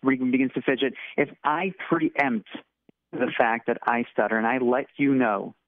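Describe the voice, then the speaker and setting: A man's voice: medium pitch, moderate pace, no echo. A man, author and interview guest, who speaks with a stutter and often repeats words. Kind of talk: interview conversation. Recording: tinny phone line.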